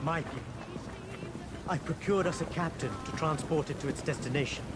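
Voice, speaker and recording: A man's voice: on the high side, a little fast, very noisy, lightly acted. A man playing a courteous, refined Indian maharaja in a video game, with an Indian accent and formal, gallant manners. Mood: composed, gracious. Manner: formal, polite, gallant turns of phrase. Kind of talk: video game cutscene acting. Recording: loud music behind the voice.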